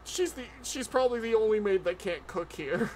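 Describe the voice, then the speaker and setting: A man's voice: sits high, lively intonation, a little fast, a little mellow, a little hiss or hum. A man streaming casual reaction commentary on a game trailer.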